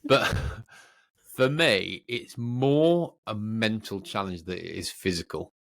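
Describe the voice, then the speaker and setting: A man's voice: fairly low pitch, slow, creaky. A man co-hosting a podcast, talking in a casual, informal way and thinking aloud as he goes. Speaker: podcast co-host.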